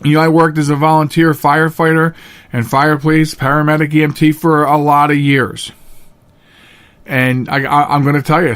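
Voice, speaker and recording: A male podcast host with a fairly high-pitched voice talks straight to his listeners. He is earnest and emphatic, often saying a phrase again to make it land. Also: a bit bright. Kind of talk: solo talk show monologue, directly addressing listeners.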